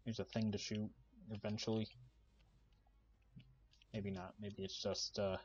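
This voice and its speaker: Male gaming host, low-pitched and soft-spoken, laid-back commentary, lo-fi mic sound. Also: measured pace. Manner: relaxed, many "uh" fillers, explains the game while playing. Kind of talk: casual gameplay commentary. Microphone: desk microphone.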